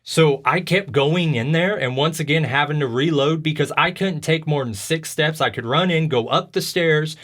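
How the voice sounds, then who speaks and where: A man's voice: a little high, moderate pace, hardly any pauses, a bit bright. A man hosting a video game podcast, chatting casually in a rambling, slangy storytelling way. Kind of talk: casual chat about video games.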